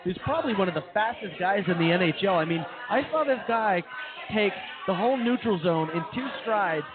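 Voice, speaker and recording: A man's voice: sits high, lively intonation, brisk. A man co-hosting a casual sports talk show, talking with eager fan enthusiasm. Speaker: co-host. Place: brewery, live show. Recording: tinny phone-like sound with crowd behind.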